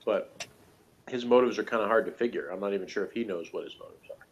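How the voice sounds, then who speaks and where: A man's voice: fairly low pitch, fast, slight background noise. Casual, rambling man on a dull recording. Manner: rambling, hedging, conversational, with frequent "you know" and "like". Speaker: guest reviewer of a TV series. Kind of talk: casual review chat.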